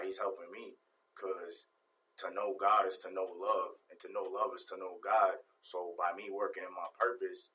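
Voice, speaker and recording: A man's voice: low-pitched, sharp tone, poor audio. A young man with a Southern US accent, talking in a laid-back, rambling and earnest way as a guest on a casual talk show. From New York. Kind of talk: casual chat.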